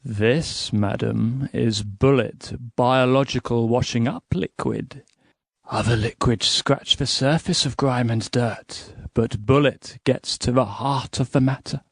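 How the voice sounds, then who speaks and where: A man plays a smug male helper with a creaky voice in a spoof radio advert. He delivers his pompous sales patter slowly and deliberately, in a dry, close recording. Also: mid-range voice, steady tone, no echo. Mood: smugly reassuring. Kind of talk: parody radio advert acting.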